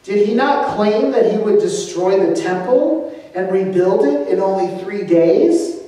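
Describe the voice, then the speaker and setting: A scornful high priest jeers in a raised, mocking voice, as a male narrator puts him on. He is higher and louder than the narration, in an echoey space. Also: lively intonation, a little slow, distant mic.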